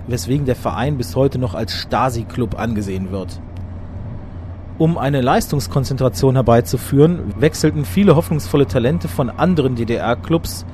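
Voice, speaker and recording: A man narrating a scripted documentary in a measured, factual reading voice. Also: medium pitch, dark tone, slight background noise.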